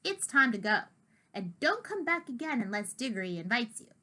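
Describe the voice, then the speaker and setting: A woman's voice: sits high, very animated, a little slow, pushed and bright, exaggerated voice. A woman voicing a brave young girl in a storybook, firm and urgent.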